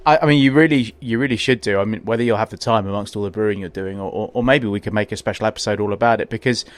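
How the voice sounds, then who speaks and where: A man's voice: on the low side, racing. Chatty London man hosting a podcast. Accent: London English. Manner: enthusiastic, chatty, repeats words when starting a thought. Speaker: podcast host. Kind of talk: casual interview chat. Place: small home brewery.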